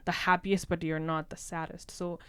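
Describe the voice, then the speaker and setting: Woman, social worker guest, conversational, smooth resonant tone, dry close sound. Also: fairly low pitch, little pitch movement, moderate pace, a bit bright, dry recording. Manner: conversational, leans on "right?" tags. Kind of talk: casual podcast conversation.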